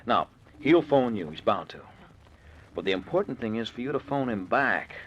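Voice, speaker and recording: A man's voice: medium pitch, animated, normal speed, fairly bright tone, natural voice. A man playing a scheming, self-assured murder plotter in an old-time radio suspense drama. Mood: smug, calculating. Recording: muffled, noisy old radio recording.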